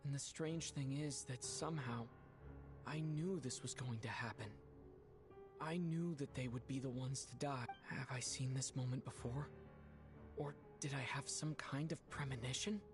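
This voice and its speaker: An actor playing a curious, uneasy young traveler in a video game, pensive and puzzled. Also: male voice, medium pitch, moderate pace, over music, lightly acted.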